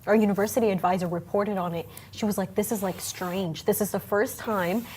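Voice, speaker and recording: Chatty young woman, lively studio co-host. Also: mid-range voice, fairly quick, slight background noise. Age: 20s. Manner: chatty, animated, many fillers like "you know". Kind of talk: casual studio chat.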